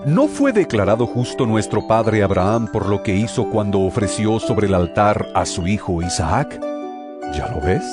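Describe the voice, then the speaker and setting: Emphatic, animated apostle voiced by a man over music. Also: lowish voice, normal speed, close to an everyday voice. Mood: challenging, scolding. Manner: emphatic, rhetorical, admonishing. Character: earnest male apostle exhorting and admonishing his brethren. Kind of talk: dramatized scripture reading with oratorical delivery.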